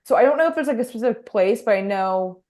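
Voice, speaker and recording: A woman's voice: on the high side, lively intonation, quick pace, a little mellow. Young adult woman, Baltimore accent, chatty interview guest who rambles with ums and restarts. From Baltimore, Maryland. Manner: chatty, lots of fillers and restarts. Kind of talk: casual interview chat.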